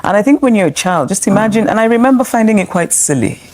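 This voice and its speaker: A woman from Nigeria telling a story in a measured, reflective way. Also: medium pitch, lively intonation, a little mellow. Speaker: guest speaker. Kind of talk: reflective interview talk.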